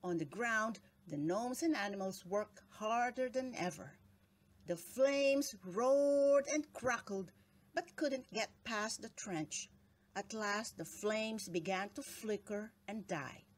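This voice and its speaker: A woman reads a children's storybook aloud with expressive, dramatic phrasing. Her pitch sits in a middle range for a woman, and she takes her time, speaking slowly and deliberately. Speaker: storybook reader.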